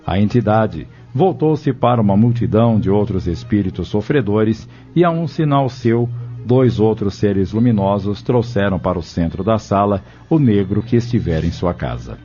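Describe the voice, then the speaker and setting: A man's voice: fairly low pitch, lively intonation, normal speed, hardly any pauses, dark tone, soft background music. A man narrating an audio drama from a script. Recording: dull lo-fi audio drama recording.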